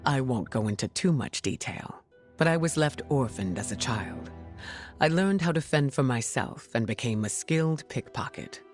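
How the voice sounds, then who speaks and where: A woman playing a regal, gracious mutant heroine in a video game, speaking formally and with measured poise. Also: a bass voice, lively intonation, soft background music. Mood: warm, courteous. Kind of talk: video game cutscene acting.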